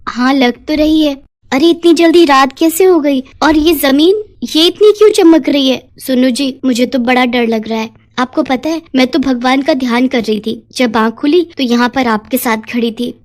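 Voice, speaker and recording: A woman voicing an anxious young village wife in an animated story, flustered and full of questions. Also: high voice, normal speed, close to an everyday voice. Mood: anxious, puzzled. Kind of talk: animated moral storytelling voice acting.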